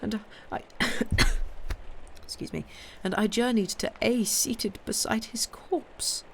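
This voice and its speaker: A reader voicing an elderly, grief-stricken noblewoman in an audiobook, speaking in a high, trembling, tearful way. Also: takes it slowly, gravelly, fairly bright tone, a little hiss or hum, low-quality recording. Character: grieving elderly noblewoman, widowed, dazed. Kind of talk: audiobook character voice.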